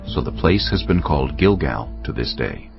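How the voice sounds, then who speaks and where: Very deep, gravelly male Bible narrator. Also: expressive, moderate pace. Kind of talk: scripted narration of dramatized audio Bible. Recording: dull audio, music underneath.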